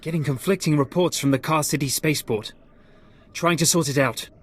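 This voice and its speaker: A man's voice: on the high side, brisk, breathy edge. A male actor playing a dutiful, anxious young operations technician in a video game, reporting in a clipped manner. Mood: alert, tense.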